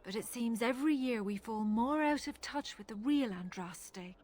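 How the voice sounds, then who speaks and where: An actress playing a thoughtful, sceptical religious scholar in a fantasy game, speaking earnestly and articulately. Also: female voice, a little high, moderate pace, darkish tone, close to an everyday voice.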